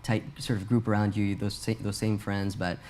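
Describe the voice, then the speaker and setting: A man's voice: lowish voice, level tone, normal speed, a little mellow. Young man, hesitant, full of fillers. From Pakistan. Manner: hesitant, many fillers and restarts. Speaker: a cappella singer and producer. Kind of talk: casual interview answers.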